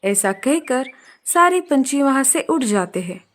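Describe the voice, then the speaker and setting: A woman storyteller with a slightly high voice. She delivers scripted narration for a children's cartoon tale. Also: sing-song, unhurried.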